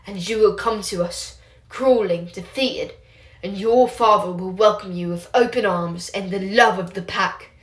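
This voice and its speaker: A woman's voice: mid-range voice, close to an everyday voice. A menacing werewolf woman, as a female reader puts her on. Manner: slow, threatening prophecy. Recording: roomy echo.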